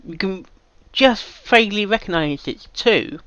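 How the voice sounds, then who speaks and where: A man hosting a reaction video, giving casual off-the-cuff commentary in a halting, rambling way and often repeating himself. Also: sits high, lively intonation, a bit bright, clean tone, dry recording, muffled recording.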